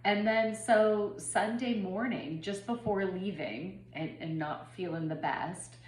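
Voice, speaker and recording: A woman's voice: medium pitch, takes it slowly. A woman in her forties, a bit congested from a head cold, talking in a rambling, personal way with many ums and restarts in a spiritual vlog. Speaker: spiritual reader and yoga teacher. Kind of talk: casual spiritual channeling vlog. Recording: echoey room with faint background noise.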